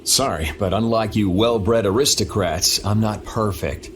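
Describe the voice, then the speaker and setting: A cynical young game swordsman, voiced by a man, with a lowish voice. He talks bluntly and wryly, over clear ambient noise. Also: measured pace, close to an everyday voice. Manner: wry, blunt. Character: cynical, irreverent, self-assured young swordsman. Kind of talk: dramatic video game voice acting.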